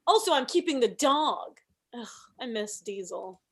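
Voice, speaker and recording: A woman's voice: a little high, animated, unhurried, a little hiss or hum. A woman playing a brash, meddling comedian of thirty in a comedy table read, breezy, cheeky and oblivious. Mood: brash, absurd. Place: video call.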